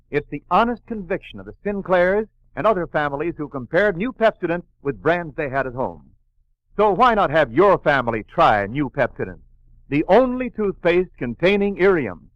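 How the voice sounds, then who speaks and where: A man's voice: a little high, sing-song, normal speed, no echo. A man announcing in an emphatic, polished 1940s radio commercial style. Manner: emphatic, polished sales delivery. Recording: muffled 1940s radio recording.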